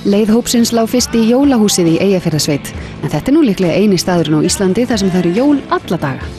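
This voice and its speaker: Fast, lively woman narrating over music. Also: medium pitch. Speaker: program narrator.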